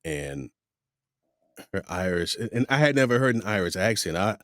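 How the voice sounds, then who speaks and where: A middle-aged man from the United States hosting a podcast, telling stories in a rambling, animated way. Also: on the low side, moderate pace, no room sound, studio quality. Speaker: podcast host. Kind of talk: casual interview chat.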